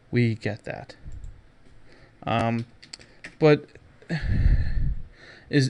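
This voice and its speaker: A man's voice: medium pitch, clear, resonant tone. A man hosting a geek news podcast, giving casual, jokey commentary with sarcastic asides and theatrical emphasis.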